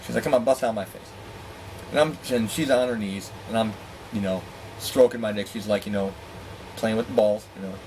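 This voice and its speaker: A man telling a personal story in a candid, profane, animated way, acting out dialogue with exasperated asides. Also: lowish voice, fairly quick, slight background noise, low-quality recording. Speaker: storyteller and host.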